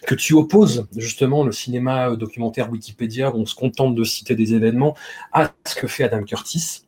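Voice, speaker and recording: Husky-voiced male podcast host. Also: mid-range voice, a little fast, darkish tone. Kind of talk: casual podcast discussion.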